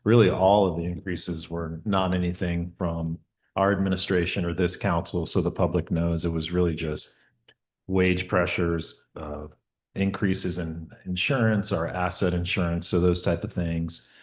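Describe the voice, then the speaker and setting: Mayor type, man, very low voice, stop-start delivery full of ums, sits back from the mic. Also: level tone, measured pace. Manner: hesitant, many fillers and restarts. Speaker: mayor presenting a budget. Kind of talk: public meeting remarks. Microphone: table mic at some distance.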